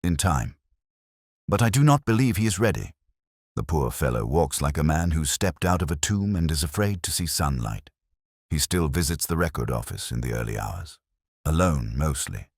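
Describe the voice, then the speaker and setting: A man acts out a brooding Victorian gentleman detective in an audiobook. The voice sits extremely low, with expressive swings in pitch. His phrasing is formal, terse and deliberate. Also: no echo, clean studio sound, exaggerated voice. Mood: wry, reflective.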